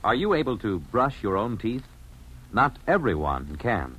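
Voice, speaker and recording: A man's voice: on the low side, sing-song, unhurried, a bit bright, slightly rough, rough recording. A man with an American accent reading scripted announcements and appeals as a 1950s radio announcer. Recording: vintage 1950s radio broadcast.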